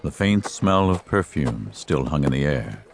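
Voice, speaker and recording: A man narrating a dramatized western audiobook in a measured storytelling style. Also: rumbling low, big pitch swings, gravelly, a little hiss or hum.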